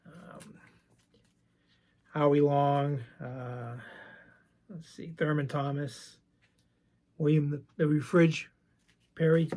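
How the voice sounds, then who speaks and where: A man with a gravelly voice from medication, hosting a casual show-and-tell of his vintage baseball cards. He talks in an easygoing, chatty way and often wanders into side stories. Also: fairly high pitch, fairly even intonation, slow pace, long pauses, a little mellow. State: voice roughened by medication. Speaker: vintage card collector and channel host.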